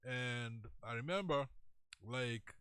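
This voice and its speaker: A man's voice: medium pitch, takes it slowly, sharp tone, clean tone, dry recording, studio quality. A middle-aged Kenyan man hosting a radio show, telling old stories in casual chat and laughing often. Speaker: radio host. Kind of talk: casual studio chat mixing Swahili slang. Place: radio studio.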